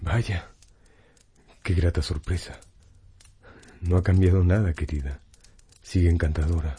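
Low-voiced suave former lover. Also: male voice, steady tone, a little slow, dark tone, breathy edge, heavily acted. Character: suave seductive gentleman, woman's former lover. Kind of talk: radio drama acting.

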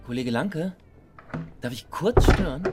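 An actor playing a dogged police detective, direct and coolly insistent, in a radio drama. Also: male voice, on the high side, lively intonation, measured pace, loud music behind the voice. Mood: coolly pressing.

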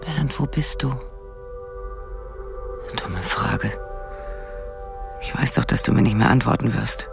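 A brooding, abandoned wife in a radio drama monologue. She murmurs slowly to herself with a gravelly creak over clear background music. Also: rumbling low, exaggerated voice. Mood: brooding, bitter. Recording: music behind the voice.

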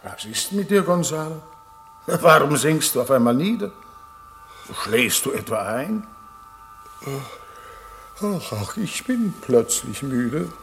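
A man's voice: on the high side, sing-song, takes it slowly, gravelly, long pauses, fairly bright tone, faint music behind, full character voice. An actor playing a grieving, weary king in a solemn, mournful manner in a German radio play.

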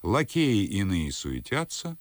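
A man narrating stage directions for a radio play in a measured, scripted way, setting the scene. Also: low-pitched, huge pitch swings, no room sound, exaggerated voice. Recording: loud music behind the voice. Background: music.

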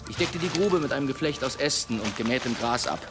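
An actor playing a boastful, theatrical demon hunter who spins tall tales with grand relish in a German historical audio drama. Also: male voice, mid-range voice, lively intonation, quick pace, music in the background, lightly acted. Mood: suspenseful, boastful.

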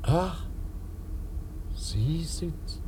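An actor playing a weak, stubborn asthmatic lawyer coming round from a faint in a 1950s German radio crime drama. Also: male voice, fairly high pitch, animated, very slow. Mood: dazed. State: weak, just revived from fainting. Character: frail, stubborn asthmatic lawyer, insistent and confused. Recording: noisy, hissy 1950s radio recording.

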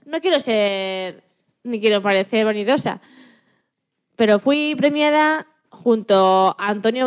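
Woman playing a high-voiced, chatty Spanish scientist. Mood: proud, chatty. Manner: expressive, chatty, self-correcting. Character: chatty, proud, enthusiastic woman Antarctic scientist. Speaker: interview guest.

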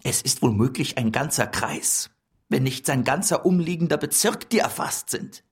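A man's voice: medium pitch, animated, normal speed, slightly rough. An actor playing a servile jester puppet reporting cautiously to his minister, deferential in manner. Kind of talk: radio play acting.

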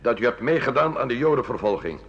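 An actor playing a formal accuser reading out charges in a radio play. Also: male voice, medium pitch, expressive, fast. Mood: formal, accusing. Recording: dull 1980 radio drama recording.

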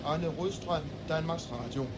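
An actor playing a shaken Danish radio reporter giving a halting, vivid live report in a radio drama. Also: male voice, a little high, lightly acted. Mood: shaken, urgent. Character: shaken Danish radio reporter surveying a devastated burning harbour. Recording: very loud vehicle noise behind.

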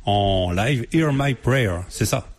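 A man's voice: mid-range voice, lively intonation, takes it slowly. A man hosting a live music show in a radio studio, chatty, laughing often and using many fillers.

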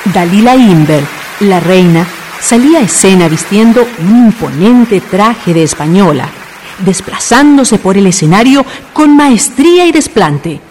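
A woman's voice: mid-range voice, lively intonation, a little slow, a little mellow, a little hiss or hum. A woman reading scripted radio narration in a solemn, florid storytelling manner. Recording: long reverb over music.

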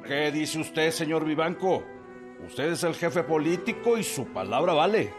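An actor playing a hard, demanding hacienda administrator in a radio drama, curt and domineering. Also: male voice, on the high side, lively intonation, unhurried, over music.

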